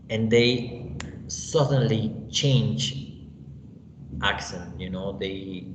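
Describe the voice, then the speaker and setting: Mexican guy lecturing slow, keeps saying you know and okay, roomy long reverb. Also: medium pitch. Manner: unhurried, tags points with "you know" and "okay?". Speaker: English teacher. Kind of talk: online class lecture. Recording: echoey, roomy, dull lo-fi sound with faint hiss.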